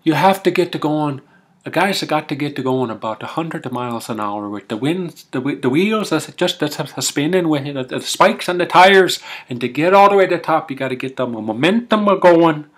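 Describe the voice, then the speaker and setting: A man playing a folksy, rambling Minnesotan husband with a Scandinavian-tinged Minnesota accent, telling comic tall tales in halting, homespun grammar. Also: a little high, animated, husky. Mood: animated, rambling. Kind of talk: comic tall-tale storytelling.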